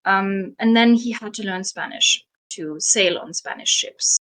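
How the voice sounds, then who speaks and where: A woman's voice: medium pitch, a little slow, low-quality recording. Woman telling history, chatty with ums. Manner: frequent ums and soft laughs. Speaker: guest storyteller. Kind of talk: casual history storytelling.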